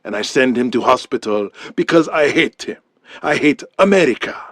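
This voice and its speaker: A brash heel wrestler bellows boasts and insults in broken English, his pitch leaping up and down as a man acts him out. The audio-drama voice is dry and close, with no room around it. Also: lively intonation, moderate pace, fairly bright tone, dry recording. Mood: ranting boastful. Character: boastful arrogant villain wrestler, man, adult.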